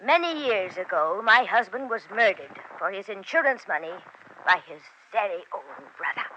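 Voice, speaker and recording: A tiny, genteel old lady of 1940s radio drama, her bright voice swooping and fluttering. She is courteous, nostalgic and a little fretful, on a hissy old recording. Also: medium pitch, expressive, normal speed, muffled recording.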